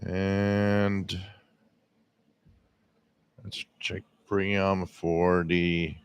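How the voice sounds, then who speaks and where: A man from Quebec, Canada, hosting a casual live radio-hobby stream with a scratchy, hoarse voice, rambling with lots of fillers, recorded on a directional mic at home. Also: low voice. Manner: rambling, many fillers and restarts. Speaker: radio hobby livestream host. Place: home radio room with air conditioning running. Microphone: directional microphone.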